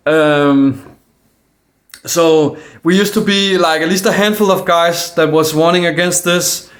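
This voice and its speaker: Man with a Danish accent, slightly high voice, unscripted to camera, stumbling and restarting but calm. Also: a little slow. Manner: hesitant, many fillers and restarts; calm, earnest. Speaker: independent video maker. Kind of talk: casual monologue to camera.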